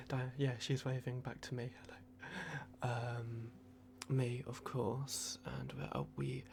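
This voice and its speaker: A man in an ASMR roleplay, playing a polite, flustered vampire at a clinic in a hushed, hesitant, apologetic way. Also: mid-range voice, little pitch movement, takes it slowly, vocal fry, noisy background.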